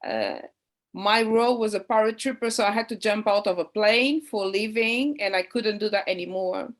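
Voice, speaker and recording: A woman's voice: mid-range voice, moderate pace. Friendly woman coach telling her story. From Portugal, Cape Verdean background. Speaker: high performance coach, operations director. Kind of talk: self-introduction on a video call. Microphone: video call.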